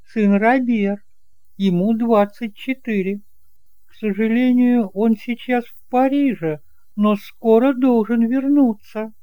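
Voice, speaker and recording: Man voicing a high-pitched, genteel middle-aged aunt. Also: clean tone, no echo, lo-fi sound. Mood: sweet, affectionate. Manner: emphatic, solicitous. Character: genteel middle-aged widowed aunt, sweetly insistent and gossipy. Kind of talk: audiobook drama acting.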